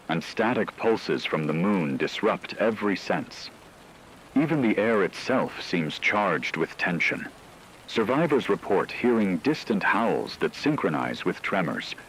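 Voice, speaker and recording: A man performs a grave male announcer for a horror radio drama, with a very low-pitched voice. He delivers the scripted report in a solemn, measured, ominous manner, over clearly noisy rain-like ambience. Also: a bit bright, exaggerated voice. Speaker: radio emergency broadcast announcer.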